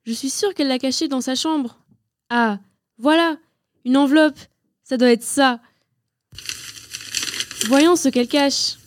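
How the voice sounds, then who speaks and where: High-voiced excitable teenage girl, played by a young woman. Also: takes it slowly, long pauses, no echo. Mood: eager, puzzled. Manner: exclaiming, thinking aloud. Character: excitable sixteen-year-old Iranian girl, eager and curious. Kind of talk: student radio drama acting.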